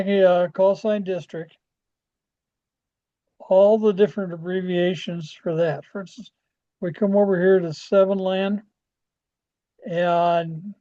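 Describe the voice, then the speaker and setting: An American man giving an informal software walkthrough as a club trainer, halting and often trailing off as he rambles along. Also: high-pitched. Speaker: presenter training radio club members. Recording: dull, lo-fi screen-share audio.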